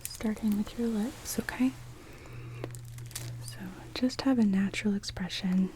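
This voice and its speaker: A woman in a whispered ASMR roleplay as a doctor, slowly giving gentle instructions during an exam. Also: mid-range voice, a little hiss or hum.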